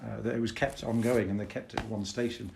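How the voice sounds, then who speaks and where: A man's voice: fairly low pitch, fairly even intonation, fast, vocal fry, darkish tone. Man, podcast co-host, casual chat, skeptical, hesitant, faint background noise. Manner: skeptical, hesitant, many fillers and trailing off. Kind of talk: casual chat reviewing a song.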